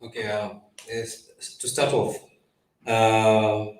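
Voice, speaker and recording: Man, lowish voice, passionate panel guest; echoey room. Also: level tone, slow. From Zimbabwe. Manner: passionate, with hesitations. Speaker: political scientist guest. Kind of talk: discussion panel.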